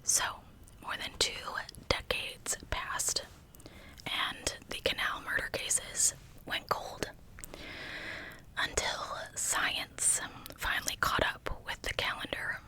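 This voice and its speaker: Whispering woman, bright crisp edge, crackly texture, ASMR storytelling, close mic. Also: slow, pauses often. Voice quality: breathy, unvoiced whisper. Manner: hushed storytelling with informal asides. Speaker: true crime ASMR host. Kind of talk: whispered ASMR true crime narration.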